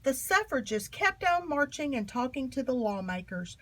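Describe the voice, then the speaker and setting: A woman reading a children's history book aloud in an expressive, emphatic storytelling manner. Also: sits high, normal speed. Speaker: storybook reader.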